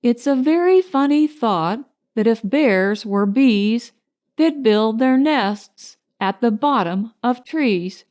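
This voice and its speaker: A woman's voice: fairly high pitch, lively intonation, slow pace, darkish tone. A woman voicing a simple, honey-loving male bear in a storybook, musing earnestly and ponderously. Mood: singsong, wistful.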